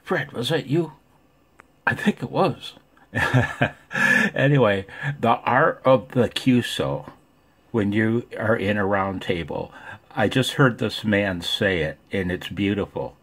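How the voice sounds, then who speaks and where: Male radio hobbyist, husky and lowish, rambling folksy delivery, casual monologue. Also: slow pace, a bit bright. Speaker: ham radio vlogger.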